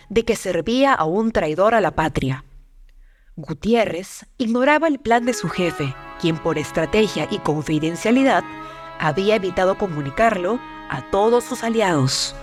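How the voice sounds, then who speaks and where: Documentary narrator: woman, mid-pitched, measured and formal with a dramatic touch, music bed.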